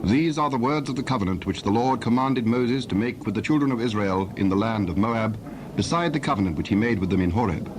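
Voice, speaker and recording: A male narrator reads scripture in a solemn, scripted style. His pitch is middling, and clear background noise and ambience run behind him. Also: expressive, brisk.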